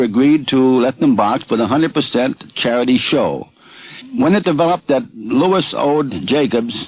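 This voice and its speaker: Male sports editor giving informal recollections, medium pitch, close dry sound, muffled old radio. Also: dry recording. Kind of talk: informal reminiscence. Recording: dull vintage radio recording.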